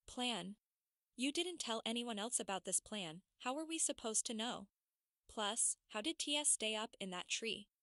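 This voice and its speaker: Man putting on a scolding high-pitched young werewolf girl. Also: expressive, measured pace, no room sound, studio quality, heavily acted. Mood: indignant. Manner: hushed, indignant, scolding. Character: scolding, exasperated young female werewolf trainee.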